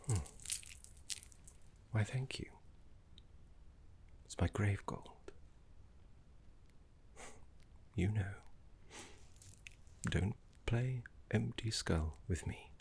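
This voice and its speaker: A man voicing a gentle, fussy, scholarly older necromancy teacher in a soft ASMR roleplay, breathy and half-whispered, with polite, hesitant phrasing. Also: low voice, heavily acted. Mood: playfully chiding. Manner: polite, hesitant, slow and deliberate.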